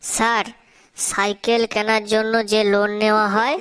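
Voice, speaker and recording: Brief: shrill cocky little boy, cartoon, Bengali accent, flat delivery. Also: moderate pace. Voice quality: very high put-on child voice. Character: cheeky bald schoolboy, confident and silly.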